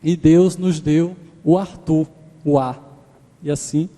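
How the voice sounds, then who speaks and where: A man's voice: on the high side, steady tone, slow pace, dark tone. Pernambuco-accented man preaching in a church. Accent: Pernambuco Portuguese mixed with Maranhão. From Pernambuco, Brazil. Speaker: guest preacher. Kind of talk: sermon. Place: large church sanctuary. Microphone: handheld microphone. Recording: church hall reverb.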